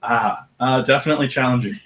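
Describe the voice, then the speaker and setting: An audiobook narrator with a deep voice, talking about his work in a relaxed, thoughtful way with some hesitation. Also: fast talker, background noise. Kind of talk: casual interview chat.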